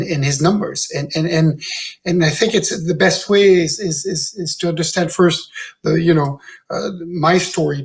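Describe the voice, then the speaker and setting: A man from Switzerland with a high voice speaks as a panel guest. He tells his story informally, in a halting way, often doubling back on small words. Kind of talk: informal storytelling in an online round table.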